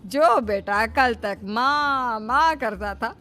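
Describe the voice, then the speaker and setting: A woman's voice: fairly high pitch, slow pace, sharp tone. A woman voicing an ailing, bossy old mother-in-law in a dramatized moral story. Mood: aggrieved. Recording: music behind the voice.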